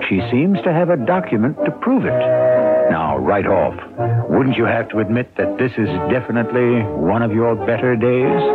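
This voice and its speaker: A man hosting a radio mystery series with scripted, measured, wry narration and deliberate pauses. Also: medium pitch, phone-quality sound. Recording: thin old radio broadcast, loud music.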